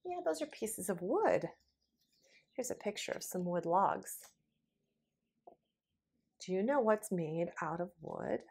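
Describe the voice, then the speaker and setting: Slow, lively woman teaching young children. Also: medium pitch, gravelly, husky. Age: middle-aged. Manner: simple deliberate phrasing with questions to young children. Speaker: preschool teacher. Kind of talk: children's lesson and read-aloud.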